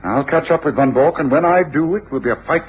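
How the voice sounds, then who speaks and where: An English actor playing a commanding, coolly reasoning detective with clipped, authoritative delivery in an old British radio drama. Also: male voice, fairly high pitch, brisk, close to an everyday voice. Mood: cool, resolute. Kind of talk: 1950s-60s British radio drama acting. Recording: echoey, dull, noisy old radio recording.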